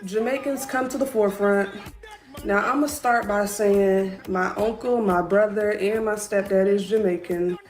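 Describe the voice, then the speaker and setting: A woman with an average-pitched voice making a firm, declarative social media rant. Her voice rings with room echo. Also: steady tone, unhurried, darkish tone, faint music behind.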